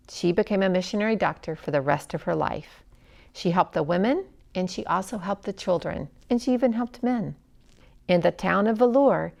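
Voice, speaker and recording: A woman reading a story aloud as scripted narration, in an expressive, dramatic way with hushed phrase endings. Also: fairly low pitch, vocal fry.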